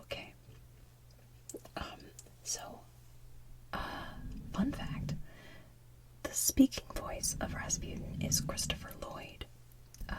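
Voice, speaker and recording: A woman hosting ASMR, chatting casually in a hushed, breathy whisper with an unhurried, rambling manner. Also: far from the mic, low-quality recording. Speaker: ASMR channel host.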